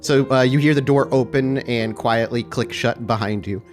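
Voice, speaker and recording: Male game master, medium pitch, descriptive scene-setting, tabletop roleplay narration. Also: normal speed, soft background music.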